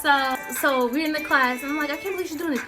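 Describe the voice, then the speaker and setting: A young American woman telling a story casually to viewers, animated and slangy, acting out the dialogue as she goes. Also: high-pitched, fast, music in the background. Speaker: storytime vlogger.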